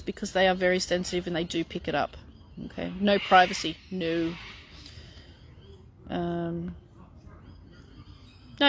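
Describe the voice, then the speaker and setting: A woman's voice: medium pitch, slight background noise. Chatty middle-aged woman answering questions. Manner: chatty, frank, many fillers and restarts. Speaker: spiritual channeler answering viewer questions. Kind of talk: casual live Q&A.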